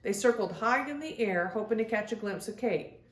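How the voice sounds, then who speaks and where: A woman's voice: fairly high pitch, animated, normal speed. Woman reading to children in an echoey room. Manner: addresses young children directly, acts out dialogue. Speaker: children's storybook reader and host. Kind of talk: expressive read-aloud storytelling for children.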